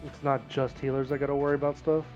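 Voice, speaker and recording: A man's voice: medium pitch, little pitch movement, quick pace, a little mellow, highs cut off. A man talking casually as a teammate in an online game raid. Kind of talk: casual gaming chat. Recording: game music behind the voice.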